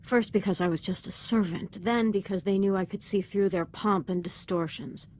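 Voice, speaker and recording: A woman's voice: medium pitch, normal speed, a little mellow, slight background noise, close to an everyday voice. A young woman acting as a devoted, fervent Sith apprentice in a video game, speaking in an earnest, deferential and articulate way. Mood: bitter, intense.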